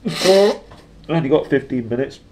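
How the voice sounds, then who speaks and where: A man's voice: fairly high pitch, lively intonation, takes it slowly, background noise. A man with a sniffly nose reading a book expressively, with casual asides. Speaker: storyteller and reader.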